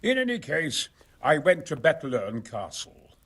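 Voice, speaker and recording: An actor playing a genial, self-important exiled engineer in a video game, talking in a wry, hesitant, gentlemanly way. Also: male voice, on the high side, big pitch swings, measured pace, slightly rough, exaggerated voice. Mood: wry, explanatory. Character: genial, erudite exiled male engineer, self-important about his opus. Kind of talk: video game cutscene acting.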